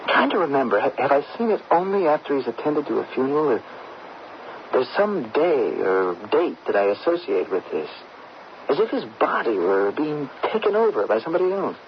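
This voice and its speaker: An anxious young student in a vintage radio play. His delivery is halting and puzzled, with lively swings in pitch. The sound is dull and band-limited, with faint noise. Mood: pensive, uneasy. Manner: hesitant, halting. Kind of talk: old-time radio drama acting. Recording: muffled old radio broadcast, faint noise.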